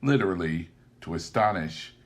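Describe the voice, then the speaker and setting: A man reading a classic story aloud as a narrator, with deliberate, theatrical and emphatic phrasing. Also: sits low, expressive. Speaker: audiobook narrator.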